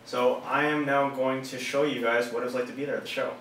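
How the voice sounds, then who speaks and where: A man's voice: medium pitch, flat delivery, fast. A man in his thirties from Canada, casually explaining to camera from a small office with hand gestures. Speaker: car audio installer and vlogger. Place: small office with monitors, indoors. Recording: roomy sound from a distant mic.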